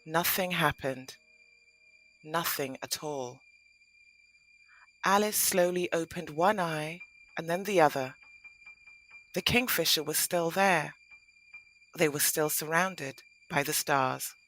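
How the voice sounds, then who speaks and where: A woman narrating a scripted audio play in an expressive, dramatic storytelling manner. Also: low voice, slow pace, pauses often, faint music behind, low-quality recording.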